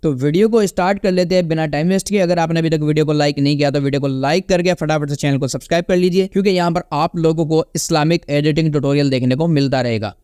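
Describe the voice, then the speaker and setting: A man presents a casual tutorial in a smooth, fairly high voice. He explains one step at a time in an instructional way, often checking that the listener follows. The recording is dry, with very little room sound. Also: fast talker, few pauses, a little mellow, no room sound. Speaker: tutorial host.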